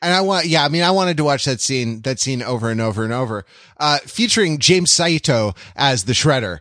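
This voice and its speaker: Halting, discursive man on a dry mic. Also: mid-range voice, expressive, a bit bright, dry recording. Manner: halting, discursive, with many false starts. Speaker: podcast co-host. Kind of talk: casual film discussion.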